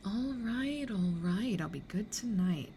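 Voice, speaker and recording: A woman playing a playful, mischievous demon in a hushed, teasing, creaky ASMR roleplay whisper. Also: medium pitch, animated, a little slow. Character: playful, mischievous demon who toys with humans. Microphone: close studio condenser or binaural mic. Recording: clear background noise.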